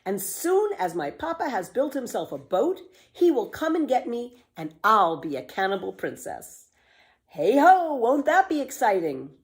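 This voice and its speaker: A woman's voice: fairly high pitch, sing-song, measured pace, pitched above the performer's own voice. A woman voicing a confident, carefree nine-year-old girl from a children's storybook, with a cheerful, boastful, exclamatory delivery. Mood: excited.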